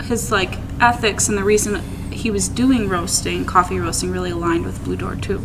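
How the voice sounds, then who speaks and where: A woman's voice: fairly low pitch, animated, moderate pace. Teasing woman guest over room noise. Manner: teasing, storytelling. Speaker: podcast guest, coffee shop staff. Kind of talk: casual chat.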